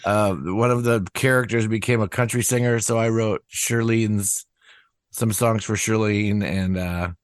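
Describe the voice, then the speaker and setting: An older man talks in a low voice, rambling through a story with false starts and loose asides. The sound is dry and close, with no room around it. He keeps an easy, conversational flow. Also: steady tone, unhurried, clear, resonant tone, no room sound. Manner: rambling, many restarts and asides. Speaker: musician guest. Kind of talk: casual interview chat.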